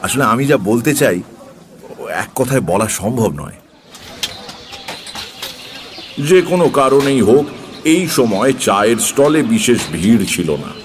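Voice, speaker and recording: An actor playing a seasoned tantric sage who tells eerie true tales in a measured, unhurried way for an audio drama. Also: male voice, mid-range voice, big pitch swings, long reverb tail. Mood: measured, uneasy. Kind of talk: dramatised audio story narration. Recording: faint background noise.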